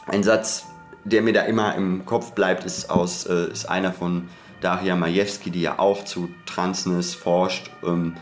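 Podcast co-host with a low voice, reflective and academic, thinking aloud and rephrasing mid-sentence. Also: little pitch movement, a little slow, faint music behind. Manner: discursive, hesitant, self-interrupting academic talk. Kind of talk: reflective conversational podcast discussion.

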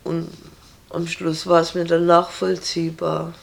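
A woman's voice: fairly low pitch, level tone, measured pace, gravelly. A woman answering interview questions in a blunt, firm and direct way.

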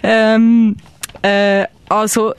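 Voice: mid-range voice, little pitch movement, drawn-out words, clear, resonant tone, no echo. A performer playing an encouraging Swiss language teacher in a live comic radio play, speaking with a Swiss German accent and adding little tag questions. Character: chummy Swiss language teacher drilling greetings and curses.

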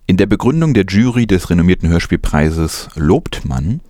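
A man's voice: sits low, very animated, normal speed, warm tone, no room sound, clean studio sound. A man presenting news as scripted narration.

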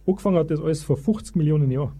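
An actor playing an eager, pedantic Bavarian husband who lectures as a self-styled expert in a comic radio play. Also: male voice, fairly high pitch, very fast, dark tone, slightly rough. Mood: pompous lecturing. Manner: pompous, long-winded.

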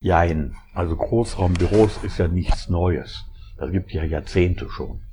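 A middle-aged man from Essen, a professor of economic geography, answering interview questions in a measured, explanatory way. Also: deep voice, background noise. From Essen, Germany.

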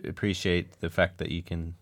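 A middle-aged man co-hosting a radio show in casual chat, dry and deadpan with short interjections. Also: low-pitched, normal speed, creaky, no room sound.

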